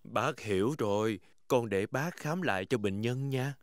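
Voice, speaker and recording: A man's voice: mid-range voice, measured pace, no room sound, clean studio sound, close to an everyday voice. A man voicing a genial bear doctor in a southern Vietnamese children's cartoon dub. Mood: genial, reassuring.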